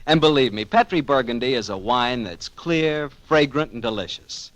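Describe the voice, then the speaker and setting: A bright-voiced American man announces an old-time radio show. His delivery is lively and emphatic, with a folksy salesman's touch. The vintage recording is dry, with music. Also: medium pitch, moderate pace, no room sound. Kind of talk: 1940s radio sponsor pitch and hosting.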